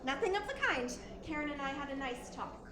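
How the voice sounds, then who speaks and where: A young, manipulative actress played by a woman in a radio play. Her voice is high and very animated, with theatrical false humility. The recording is roomy with faint noise behind it. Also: fairly quick, crowd noise. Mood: defensive. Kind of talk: stage-style radio play acting.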